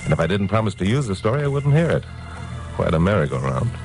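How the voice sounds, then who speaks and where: An actor playing a wry, hardboiled newscaster detective with a breezy, clipped delivery in old radio drama style. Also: male voice, on the low side, sing-song, quick pace. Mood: wry storytelling. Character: wisecracking hardboiled newscaster detective narrating his case. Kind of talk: 1950s radio detective drama acting and narration. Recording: old radio recording, music behind.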